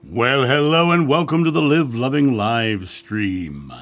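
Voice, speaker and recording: A man hosting a spiritual livestream, chatting casually and greeting viewers by name, his voice a little raspy after a long week. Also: mid-range voice, lively intonation, a little slow, fairly bright tone. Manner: friendly, chatty, reads names from chat with frequent fillers. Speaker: livestream host, spiritual guide. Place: home studio.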